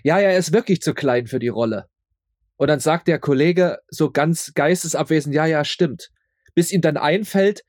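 Higher-voiced man, agreeable interview guest. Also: normal speed, darkish tone, dry recording, studio quality. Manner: agreeable, informal, often repeats his words. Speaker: interview guest, audio drama maker. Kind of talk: casual interview chat.

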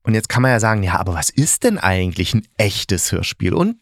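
A man's voice: on the low side, very animated, fairly quick, no echo, clean studio sound. A middle-aged man from East Germany giving a casual, opinionated review as a podcast host, speaking bluntly and colloquially and correcting himself as he thinks aloud.

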